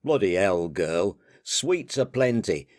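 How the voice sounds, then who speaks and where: A man's voice: sits low, big pitch swings, slow, no echo, studio quality, heavily acted. A man voicing a weary, good-humoured middle-aged father who grumbles and mumbles. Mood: grumbling. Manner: grumbling, mumbling, plain-spoken.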